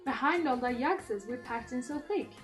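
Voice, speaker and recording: A woman's voice: high voice, lively intonation, normal speed, faint music behind. Woman reader, raised register, bedtime storybook, faint musical backdrop. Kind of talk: read-aloud children's bedtime story.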